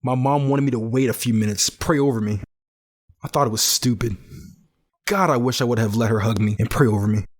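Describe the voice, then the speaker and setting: A man's voice: mid-range voice, fairly quick, natural voice. A man playing a grieving, bitter teenage survivor in a post-apocalyptic audio drama, earnest and brooding. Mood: guarded, regretful. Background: music.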